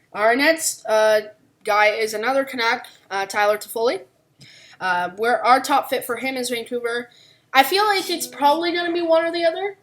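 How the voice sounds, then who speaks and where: A woman with a fairly high voice co-hosts a sports podcast. She talks through her analysis conversationally, without a script. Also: fairly bright tone. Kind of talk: unscripted hockey analysis discussion.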